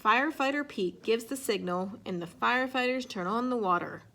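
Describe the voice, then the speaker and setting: A woman reading a children's picture book aloud in an expressive way. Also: fairly high pitch, moderate pace. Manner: expressive, acts out sound effects and exclamations. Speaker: storybook reader.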